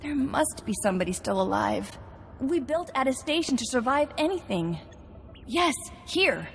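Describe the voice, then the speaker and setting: Anxious station scientist in echoing space. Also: female voice, sits high, sing-song, moderate pace, creaky, a little hiss or hum, heavily acted. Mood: worried, hopeful.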